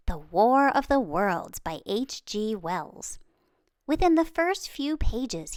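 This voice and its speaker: A woman reads a children's book aloud in an animated voice that rises and falls widely. She takes her time, using dramatic pauses and emphasis to shape each phrase. The sound is dry and close. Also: a little high, no echo. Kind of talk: expressive read-aloud storytelling.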